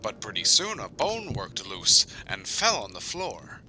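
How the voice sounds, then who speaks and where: Man acting slow, animated spooky storyteller. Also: on the low side, soft background music, full character voice, rough recording. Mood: relishing, spooky. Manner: rhythmic, expressive. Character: folksy spooky-tale storyteller in a village. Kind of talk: dramatic spooky folk storytelling.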